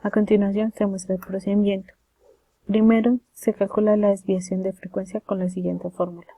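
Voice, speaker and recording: A woman's voice: medium pitch, little pitch movement, brisk, warm tone, slightly rough, no echo. A woman presenting a scripted technical lesson in a formal, methodical way, reading it out step by step. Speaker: presenter explaining signal processing.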